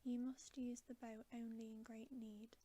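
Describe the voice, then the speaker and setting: Woman playing a solemn old gift-giver, storybook, soft whisper, grave, kindly, slow, mellow. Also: a little high, level tone.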